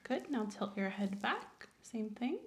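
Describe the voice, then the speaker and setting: A soft-spoken woman doing an ASMR medical exam roleplay, often dropping to a whisper as she gives gentle, reassuring instructions. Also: mid-range voice, big pitch swings, measured pace, a little hiss or hum. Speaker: ASMR creator playing a doctor.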